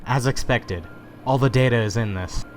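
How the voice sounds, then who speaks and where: A man's voice: medium pitch, moderate pace, natural voice. Man voicing a cocky young fighter over music. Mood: serious, reading. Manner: cocky and determined. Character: brash, brave young male armored creature fighter. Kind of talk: amateur animated comic dub acting.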